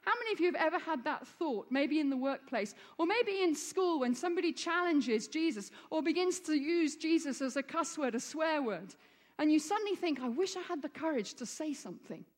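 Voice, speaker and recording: Female preacher raised in Egypt, high voice, earnest sermon delivery building to fervent peaks, big hall reverb. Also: expressive, fairly quick. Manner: earnest, rising to fervent emphasis. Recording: long reverb of a large hall.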